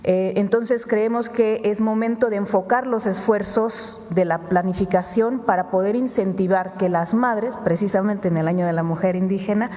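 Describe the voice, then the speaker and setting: Woman official explaining stuff at a press conference, mellow, echoey hall. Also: medium pitch, fairly even intonation, moderate pace, few pauses. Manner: explanatory, with fillers. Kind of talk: explaining policy. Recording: long hall reverb.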